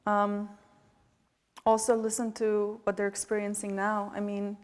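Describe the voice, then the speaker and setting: A woman's voice: mid-range voice, completely flat delivery, measured pace. A woman in her forties with a Bosnian accent, speaking earnestly as a panelist at a public event, with frequent hesitations and restarts. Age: 40s. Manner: heartfelt, hesitant, many fillers and restarts. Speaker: panelist, nonprofit director. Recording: reverberant event hall.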